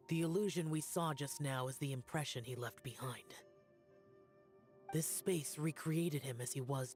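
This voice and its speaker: A man's voice: mid-range voice, natural voice. A man acts out a young immortal warrior from a video game, solemn and burdened. He speaks in terse, formal phrases over faint music.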